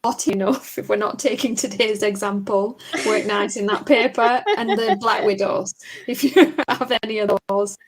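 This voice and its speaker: A woman's voice: medium pitch, fairly even intonation, moderate pace, over a noisy crowd. Friendly woman chatting live. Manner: friendly, easygoing, reads viewer names aloud. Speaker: co-host of a live coloring stream. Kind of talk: casual live-stream chat.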